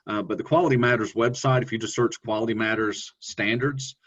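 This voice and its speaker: Man, deep voice, relaxed webinar presenter, lo-fi call audio. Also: a little fast. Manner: relaxed, conversational, with fillers and self-corrections. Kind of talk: presenting an online teaching webinar.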